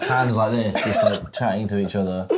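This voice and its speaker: A man's voice: lowish voice, steady tone, normal speed, very noisy. A man hosting a podcast, chatting casually with his guest in a teasing, easygoing way. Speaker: podcast host. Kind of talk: casual chat.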